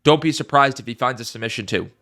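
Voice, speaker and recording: A man's voice: mid-range voice, quick pace, sharp tone. A young man with a congested, stuffy voice giving casual fight predictions, emphatic and repeating his points, swearing now and then. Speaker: MMA YouTuber.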